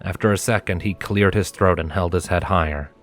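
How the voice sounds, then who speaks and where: A man reading scripted fiction as narrator, in a measured and expressive storytelling manner, with music behind him. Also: low voice.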